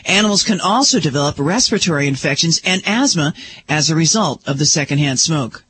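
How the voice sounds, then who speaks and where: Female news reporter, low-set voice, scripted radio bulletin, slight background noise. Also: expressive, a little fast, fairly bright tone.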